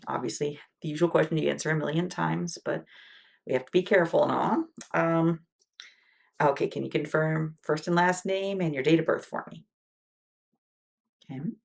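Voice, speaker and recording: Woman, low gravelly voice, as a reassuring clinician in ASMR exam roleplay; soft, with whispered asides. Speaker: ASMR roleplay creator playing a wound care clinician.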